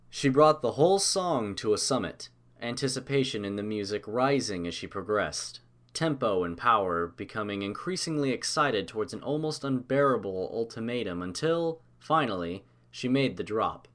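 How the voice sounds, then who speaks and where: A man reads a scripted story as its narrator. His voice is medium in pitch and moves up and down in a very animated way.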